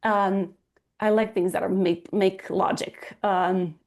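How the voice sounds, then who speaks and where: Romanian-accented woman, earnest and halting. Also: medium pitch, steady tone, darkish tone. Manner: earnest, searching for words, frequent restarts. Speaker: guest, fertility coach. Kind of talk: casual interview talk.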